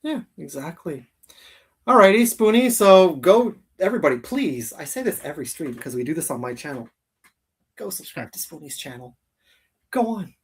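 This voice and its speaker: A man hosting a geek livestream in casual chat, with an animated, informal way of coaxing his viewers. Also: a little high, moderate pace, husky. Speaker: host of a geek livestream channel.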